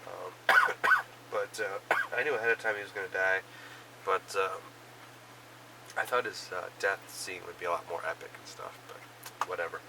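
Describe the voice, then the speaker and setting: A man with a cold, hoarse and coughing, casually rambling through a recap of the films and shows he watched. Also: deep voice, fairly even intonation, normal speed, pauses often, distant mic, background noise. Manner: rambling, many fillers and restarts. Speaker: vlogger reviewing films and shows.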